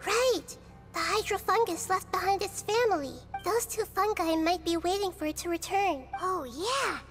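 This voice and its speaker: A woman voicing a small childlike fairy companion in an anime-style game, excitable and earnest. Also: very high-pitched, normal speed, over music. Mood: excited.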